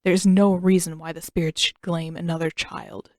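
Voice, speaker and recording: A woman's voice: on the low side, level tone, moderate pace, darkish tone, dry recording, studio quality, close to an everyday voice. A woman voicing a grim, weary male village leader who speaks in a solemn, resigned manner in a story. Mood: solemn, regretful.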